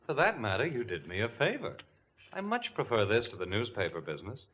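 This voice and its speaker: A low-voiced, mocking ghost of a murdered man in a radio mystery from the 1940s. He sounds suave and sardonic, with lively swoops in pitch. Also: heavily acted. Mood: mockingly friendly. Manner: smooth, sardonic, unhurried. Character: suave, mocking male murder victim back in a nightmare.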